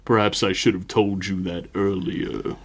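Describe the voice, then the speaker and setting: A man performs an imposing, inhuman reverend from a horror tabletop game. The voice is low and guttural, the delivery drawn-out and solemn. He preaches with calm, measured menace. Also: heavily acted. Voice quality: deep, guttural.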